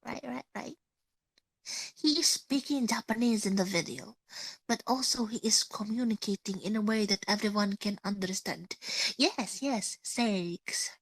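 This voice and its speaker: Young Indonesian woman streamer, stuffy-nosed and sniffling, slow halting speech with a gravelly edge, dry close sound. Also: mid-range voice, dry recording, poor audio. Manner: halting, searching for words. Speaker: VTuber streamer. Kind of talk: casual chat with listeners.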